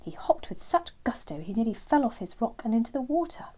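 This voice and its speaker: A woman narrating a bedtime story for children, gentle and expressive, with phrases often trailing into a hush. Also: on the high side, fairly quick, whispered.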